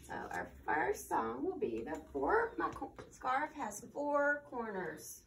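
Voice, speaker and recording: A woman hosting a children's storytime, casually giving simple, slightly halting instructions to families watching at home. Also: high-pitched, wildly swooping pitch, vocal fry, far from the mic, noisy background.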